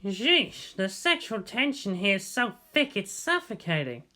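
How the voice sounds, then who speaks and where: A man's voice: high voice, big pitch swings, unhurried, bright, forceful tone, pitched above the performer's own voice, full character voice. A man voicing a wry, bored, nerdy teenage boy in a story reading, muttering and grumbling. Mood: exasperated.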